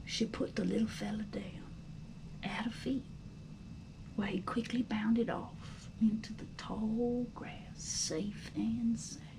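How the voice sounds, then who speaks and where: A woman reading a storybook aloud as narrator, in an expressive, dramatic style with a hushed storytelling manner. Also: fairly high pitch, slow pace, creaky, in a whisper, rough recording. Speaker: storybook reader.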